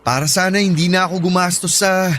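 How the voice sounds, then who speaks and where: Man acting high-voiced, caring shipmate. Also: fairly even intonation, brisk, a bit bright, slight background noise. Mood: generous, easygoing. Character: caring, generous male Filipino shipmate and buddy. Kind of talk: Filipino audio drama acting.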